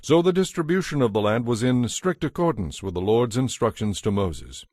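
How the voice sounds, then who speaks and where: A man reading scripted scripture narration as narrator, in a measured, formal manner. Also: medium pitch, very animated, darkish tone, dry recording, studio quality. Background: background music.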